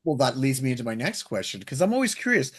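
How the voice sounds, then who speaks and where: A man's voice: medium pitch, very animated, quick pace, a bit bright, breathy edge. A man hosting an interview show in a conversational style, putting questions to guests.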